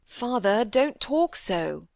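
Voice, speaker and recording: A woman voicing a proud, touchy young gentleman in an audiobook, speaking formally and politely. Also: a little high, sing-song, slow pace, gravelly, no room sound, clean studio sound, pitched above the performer's own voice, full character voice. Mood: weary, placating. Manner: formal, polite, stiffly indignant. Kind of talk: audiobook character dialogue.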